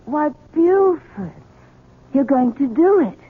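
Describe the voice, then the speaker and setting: An actress playing a proud, stubborn wife in a 1970s radio drama, curt and prickly. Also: female voice, high voice, sing-song, slow pace, exaggerated voice. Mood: chatty. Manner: curt and prickly, easily dazzled. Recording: lo-fi phone-like old radio broadcast.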